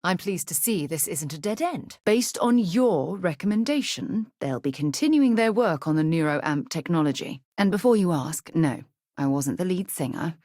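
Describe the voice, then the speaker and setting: Game role, woman performer: composed female explorer-leader, earnest and well-spoken, low register, very dry studio sound. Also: animated, moderate pace, mellow, dry recording, close to an everyday voice. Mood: matter-of-fact. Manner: articulate, earnest, formal turns of phrase. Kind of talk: scripted video game dialogue, naturalistic voice acting.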